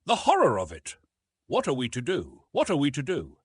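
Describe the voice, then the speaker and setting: A man's voice: medium pitch, wildly swooping pitch, normal speed, slightly rough, no echo, clean studio sound, full character voice. A man voicing a tearful, frightened young noblewoman, pleading, in an audiobook. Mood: anguished. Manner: pleading, sobbing delivery.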